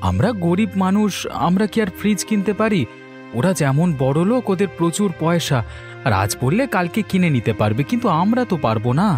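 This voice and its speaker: A man acts out a poor, put-upon husband from a cartoon folk tale. His voice is strikingly high for a man, full of complaint and pleading, over a bed of music. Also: very animated, a little fast, a little mellow. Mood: resigned. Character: poor, put-upon village husband and son-in-law, plaintive.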